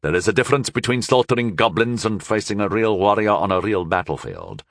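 A man voicing a haughty rival warlord in an audiobook, quietly scornful and measured. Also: fairly low pitch, lively intonation, gravelly, no echo, clean studio sound. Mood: quiet menace. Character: haughty, menacing rival warlord, sneering aristocrat.

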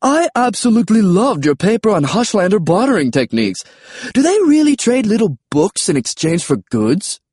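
Storybook Triceratops: high, swooping, fussy British gentleman, slightly husky. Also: very animated, moderate pace, dry recording, clean studio sound, heavily acted. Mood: delighted. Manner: posh, fussy. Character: genteel, indignant male Triceratops, small talking dinosaur.

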